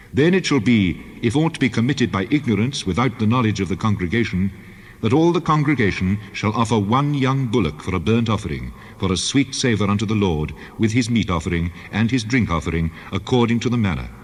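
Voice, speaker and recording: Male actor as God, deep husky voice, commanding and measured, scripture drama, long reverb. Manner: solemn, formal, measured. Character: God speaking law and judgement, solemn and commanding.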